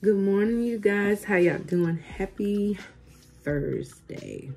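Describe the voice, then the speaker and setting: A woman's voice: medium pitch, lively intonation, slow, vocal fry, faint music behind. A woman in her thirties chatting casually to her viewers from her living room, recovering from being sick, saying "y'all" a lot and gushing over gifts. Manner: chatty, says "y'all" often, warm exclamations over gifts. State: recovering from illness. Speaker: vlogger. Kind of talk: casual vlog chat. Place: living room at home, indoors.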